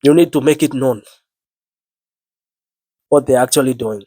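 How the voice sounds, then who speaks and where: Sick guy preaching into the mic, earnest, low worn flu voice, dry sound, repeats himself a lot. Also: unhurried, mellow, no echo. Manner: earnest, repeats words, biblical phrasing. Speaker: online preacher. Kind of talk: spoken sermon to camera.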